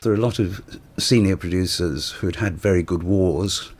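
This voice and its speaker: British male interviewee, deep and husky, recalling past work in halting, unscripted talk. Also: darkish tone. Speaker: interviewee, former radio producer. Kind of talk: reminiscing in an interview.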